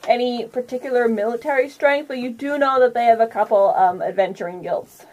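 A woman's voice: fairly high pitch, a little fast, a little mellow. A woman hosting a tabletop roleplaying podcast, narrating casually as game master. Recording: clearly noisy room recording with clicks.

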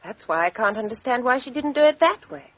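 An actress playing a composed, outspoken young Englishwoman with a crisp, frank upper-class delivery in a 1950s radio drama. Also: high-pitched, animated, fast, no room sound. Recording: dull 1950s radio recording.

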